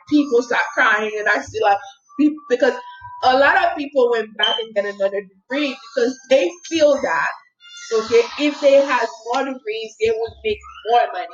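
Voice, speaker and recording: A woman healer giving an earnest motivational talk, telling a personal story in a soft, lilting voice and often checking in with "okay?". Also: a little high, dull audio. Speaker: spiritual healer and mindset coach.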